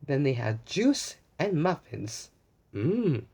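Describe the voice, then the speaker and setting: A children's story time host narrates a picture book in a very animated, slow-paced way. Little asides and repeated phrases are addressed straight to young listeners. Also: medium pitch, husky.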